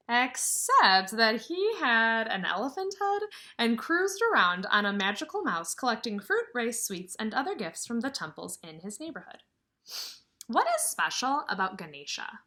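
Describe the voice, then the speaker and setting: A woman teaching young children through a read-aloud. Her voice is fairly high and bright, with animated rises and falls. She speaks emphatically and expressively, as someone guiding small listeners. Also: a little slow. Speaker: kindergarten teacher. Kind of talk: children's read-aloud lesson with questions and explanations.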